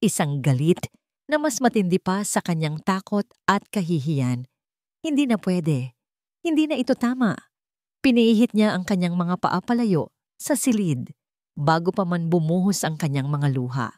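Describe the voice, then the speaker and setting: Woman telling a dramatic story, solemn, lowish voice, really dry close sound. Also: big pitch swings, moderate pace, darkish tone, dry recording. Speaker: story narrator. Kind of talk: scripted dramatic narration of a Tagalog love story.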